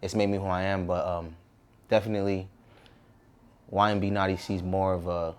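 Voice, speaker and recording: A man's voice: low voice, level tone, fairly quick. A man in his twenties with an American accent, talking casually and reflectively as a guest in an interview. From Southern California, United States. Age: 20s.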